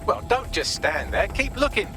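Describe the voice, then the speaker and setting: A man's voice: high voice, sing-song, moderate pace, creaky, over music, exaggerated voice. A man playing a witty, snarky AI assistant in a video game, articulate and sardonic.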